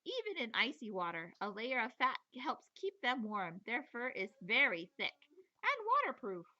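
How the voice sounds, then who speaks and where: A woman teacher reading a children's nature book aloud in an expressive, playful storytelling manner, with chatty asides. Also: fairly high pitch, normal speed, highs cut off.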